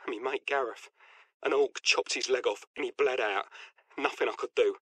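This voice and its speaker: A man's voice: mid-range voice, normal speed, sharp tone, breathy edge, no room sound. A man playing a weary, grim soldier recording a terse personal log in a video game. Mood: bleak. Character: weary, grim ordinary male guardsman soldier.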